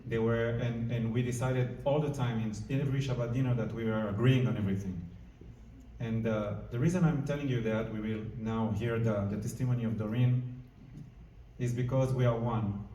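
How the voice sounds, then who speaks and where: A man's voice: mid-range voice, steady tone, normal speed, dark tone, a little hiss or hum. Man speaking in an echoing hall. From France. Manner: earnest, informal, searching for words. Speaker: diplomat. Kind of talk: speech to a live audience. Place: large community hall. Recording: roomy hall, mic at a distance.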